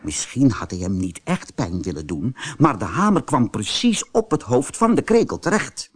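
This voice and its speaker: A man narrating a children's fairy tale audiobook as an expressive, scripted storyteller. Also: mid-range voice, normal speed, husky.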